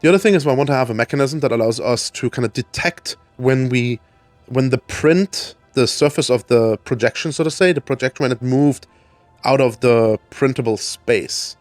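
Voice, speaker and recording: A man from Germany, in his thirties, hosting a let's play and explaining his build out loud, his voice raspy from a cold, with plenty of ums and restarts as he thinks. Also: mid-range voice, moderate pace, no room sound, soft background music. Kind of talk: casual gameplay walkthrough.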